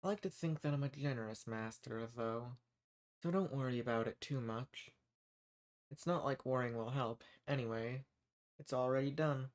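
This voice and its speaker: Guy doing a smug old demon lord for a roleplay audio, mid pitch, condescending n amused, stretches out words for emphasis. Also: normal speed, close to an everyday voice. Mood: smug, commanding. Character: smug, dominant ancient male archdemon dealmaker. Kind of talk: scripted roleplay audio monologue.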